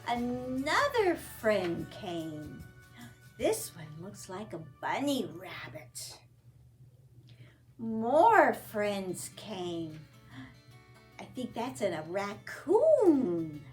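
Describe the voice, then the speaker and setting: A woman's voice: mid-range voice, huge pitch swings, extremely slow, darkish tone, soft background music. A woman reading aloud at a children's storytime as a librarian, in a sing-song manner with simple questions to young listeners.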